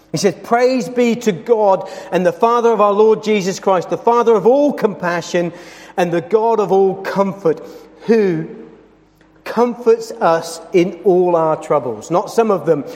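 A man preaching a sermon in a church, with a loud voice and an earnest, emphatic delivery that slows down over scripture. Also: high voice. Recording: long hall reverb.

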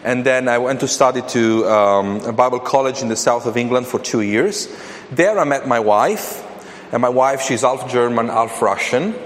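A man's voice: medium pitch, moderate pace. A man from Italy with an Italian accent preaching a sermon as a guest speaker, speaking hesitantly with frequent fillers and restarts. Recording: reverberant hall with faint ambient noise.

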